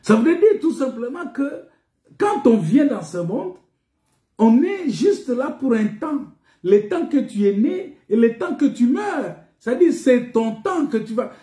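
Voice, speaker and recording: High-pitched male preacher in an echoey room. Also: big pitch swings, unhurried, warm tone. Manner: emphatic, repetitive, didactic with rhetorical questions. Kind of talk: sermon, teaching scripture.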